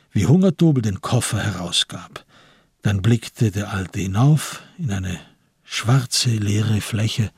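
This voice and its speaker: A man narrating a literary radio drama in a measured, grave and deliberate manner. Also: fairly low pitch, darkish tone, breathy edge, dry recording, studio quality.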